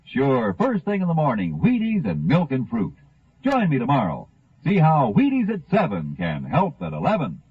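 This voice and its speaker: A man giving a folksy, enthusiastic, emphatic commercial pitch as a 1950s radio announcer. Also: huge pitch swings, moderate pace, background noise. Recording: thin, narrow 1950 radio recording.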